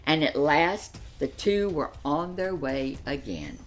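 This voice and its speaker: An older woman in her seventies reading a children's picture book aloud in an expressive storytelling style. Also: low voice, takes it slowly, slight background noise. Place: furnished living room, seated in an armchair.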